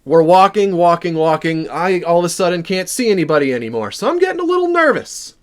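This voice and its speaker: A man with a slightly high voice tells a personal story to his viewers. He is casual and animated, slipping into quoted dialogue as he recounts things. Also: fast talker. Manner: acts out dialogue within the story. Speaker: video creator telling a personal story.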